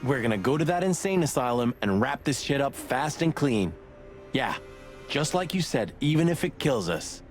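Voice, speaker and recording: A man as a lively wisecracking rooster cop. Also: mid-range voice, moderate pace, faint music behind, lightly acted. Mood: determined. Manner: wisecracking, expressive, blustery. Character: loyal wisecracking male rooster cop, hot-headed sidekick. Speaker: detective's partner. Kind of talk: film noir game voice acting.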